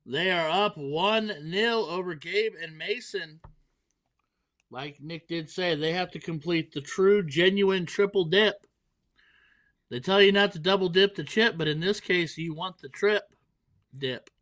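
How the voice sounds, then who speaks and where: A man gives live play-by-play at a darts event in a slightly high voice. He jokes constantly and makes fun of himself between throws. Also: a little slow, fairly bright tone. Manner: playful, self-mocking banter. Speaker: darts commentator. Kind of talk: joking live sports commentary.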